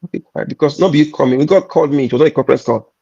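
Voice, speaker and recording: A man's voice: fairly high pitch, rapid-fire, vocal fry, mellow, breathy edge, dull audio. A Nigerian man, a comedian, talking candidly in a conversation, emphatic and repetitive, slipping into Nigerian pidgin.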